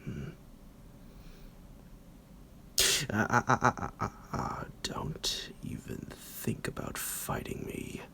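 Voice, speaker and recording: A man playing a psychotic vampire doctor in an ASMR roleplay, smug and menacing, with a slow, theatrical, teasing delivery in a gravelly half-whisper. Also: expressive, pushed and bright, full character voice. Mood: menacing, mock-tender. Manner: slow, drawn-out, theatrical, halting, with low chuckles.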